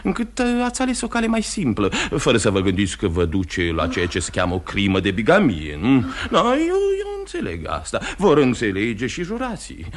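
An actor playing a formal, courteous but pressing investigating magistrate in a radio drama, often repeating his phrases haltingly. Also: mid-range voice, wildly swooping pitch, slight background noise. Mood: insinuating, persuasive. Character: smooth, insistent male investigating magistrate, courteous yet pressing. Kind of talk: mid-century radio theatre acting.

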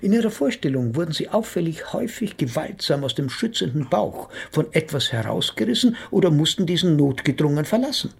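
An actor playing a measured, sceptical psychotherapist in a radio play, speaking in a formal, pedantic, hedging manner. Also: male voice, a little high, big pitch swings, vocal fry, slightly rough, full character voice. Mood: analytical. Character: measured, sceptical male psychotherapist analysing his patient.

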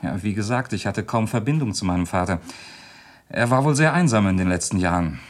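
Estranged son in radio crime drama: deep male voice, polite, measured, composed. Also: expressive. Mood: composed, sober. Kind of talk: German radio play acting, dramatic dialogue.

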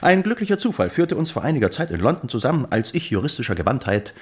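An actor playing a pompous, debt-ridden middle-aged clerk in a German radio drama, florid in speech with hesitant pauses. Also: male voice, mid-range voice, big pitch swings, husky. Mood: pompous, earnest.

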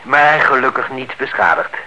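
An actor playing a courteous, evasive married neighbour in a Dutch radio play, smooth-talking and guarded. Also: male voice, medium pitch, lively intonation, normal speed, natural voice. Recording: dull, noisy old radio recording.

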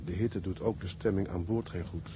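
A man's voice: deep voice, fairly even intonation, moderate pace, noisy background, natural voice. An actor playing a young sailor, narrating his wry, fond letters home. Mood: fond, weary.

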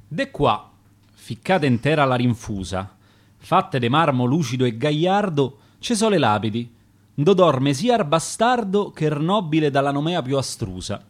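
Expressive man reciting in Roman accent. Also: mid-range voice. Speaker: verse reciter. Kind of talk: theatrical recital of dialect verse.

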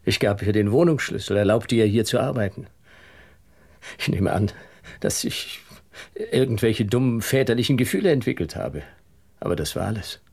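Defensive husband, low and husky. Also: male voice, expressive, moderate pace, a little mellow, exaggerated voice. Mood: pained, defensive. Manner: defensive, emphatic denials. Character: married man under suspicion, defensive and earnest. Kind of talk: radio drama acting.

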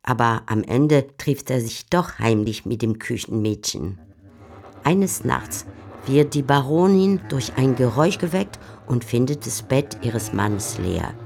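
A woman's voice: a bass voice, animated, measured pace, gravelly, darkish tone. Female radio narrator, scripted literary commentary.

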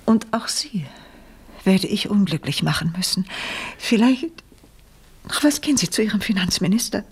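A woman's voice: medium pitch, expressive, moderate pace. An actress in a German radio play as a proud, wounded French noblewoman who speaks in formal, confessional phrases with feigned candour. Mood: anguished confession. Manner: theatrical, formal, breathy confessional delivery. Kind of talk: German radio play acting, period drama.

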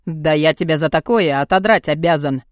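Woman voicing a gruff, burly man, pitched low. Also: moderate pace, dry recording, studio quality, close to an everyday voice. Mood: angry, demanding. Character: gruff, burly bearded man, brazen and lecherous. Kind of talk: audiobook character dialogue.

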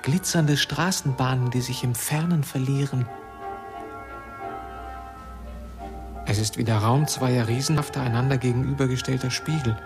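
A man's voice: medium pitch, brisk, reverberant, over music, lightly acted. An actor playing a forsaken, restless young man in a radio drama, brooding aloud in an intense, declamatory monologue. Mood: awed, lonely.

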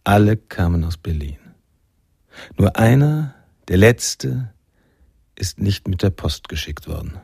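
An actor playing the reflective male narrator of a noir jazz radio play, with a deep, gravelly voice. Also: big pitch swings, slow pace, pauses often, a little mellow, dry recording, studio quality, heavily acted. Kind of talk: scripted radio drama narration.

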